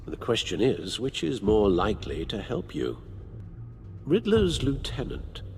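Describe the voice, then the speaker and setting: An actor playing a loyal older butler in a video game, refined, formal and concerned. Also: male voice, mid-range voice, very animated, measured pace, creaky, darkish tone, faint music behind. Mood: concerned, advisory.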